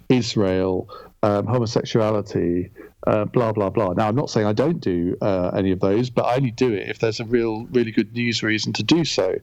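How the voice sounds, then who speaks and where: A middle-aged Englishman with a calm voice, talking reflectively as an interview guest, often pausing on ums and restarting. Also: mid-range voice, no echo, dull audio. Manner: measured, with frequent ums and restarts. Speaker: guest, radio broadcaster.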